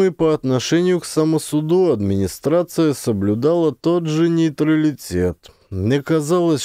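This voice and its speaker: A man's voice: medium pitch, mellow, no room sound, studio quality. A man reading a literary memoir as a scripted audiobook narration.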